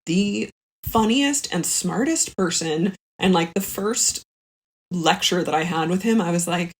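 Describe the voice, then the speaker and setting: A woman's voice: on the low side, a little slow, rough recording. A woman telling stories as a podcast guest in casual chat, chatty and wry with a self-deprecating streak. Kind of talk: casual chat, animated storytelling.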